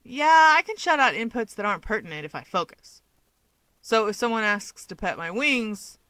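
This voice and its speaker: A woman's voice: a little high, animated, normal speed, bright, forceful tone, dry recording, low-quality recording. A woman voicing an upbeat, self-assured young male hero with wings, explaining things chattily in an audio story. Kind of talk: fan fiction audiobook character dialogue.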